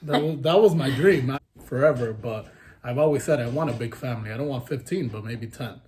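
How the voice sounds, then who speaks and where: A man's voice: medium pitch, brisk, darkish tone, a little hiss or hum. A young man co-hosting a couple's Q&A vlog, teasing his partner and reading out the questions. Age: young adult. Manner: teasing, reads questions aloud. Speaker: vlogger, co-host. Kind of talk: casual couple Q&A chat.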